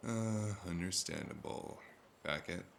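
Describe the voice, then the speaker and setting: A deep, gravelly voice with a hard edge from a male actor, a cranky, worn-out investigator in a cyberpunk noir drama. He takes his time and grumbles with dry sarcasm. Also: measured pace, a bit bright, heavily acted. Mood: weary grumbling. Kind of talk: audio drama dialogue.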